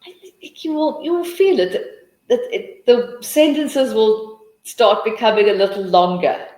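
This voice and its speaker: A woman's voice: fairly high pitch, measured pace, darkish tone, reverberant, rough recording. A South African woman hosting a live teaching webinar, answering questions in a chatty, encouraging way with many restarts.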